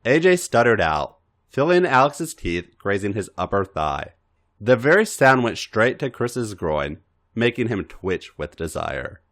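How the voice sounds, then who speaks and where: Deep-voiced man narrating with sweeping pitch. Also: big pitch swings, unhurried, a bit bright. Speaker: podcast narrator reading fan fiction. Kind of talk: expressive scripted story narration.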